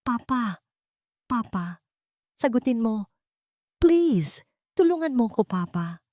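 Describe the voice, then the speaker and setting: A frightened little girl played by a woman narrator pleads tearfully in a high voice. Her pitch swings widely, in the manner of a melodramatic audio drama. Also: sing-song, slow, a little mellow, dry recording, clean studio sound. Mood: sobbing, pleading. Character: terrified sobbing little girl begging her father.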